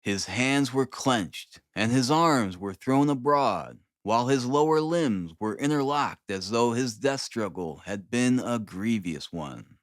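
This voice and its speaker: A man narrating a classic story as an audiobook, in a measured, deliberate, dramatic reading style. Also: mid-range voice, animated, no echo, studio quality. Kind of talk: dramatic scripted literary narration.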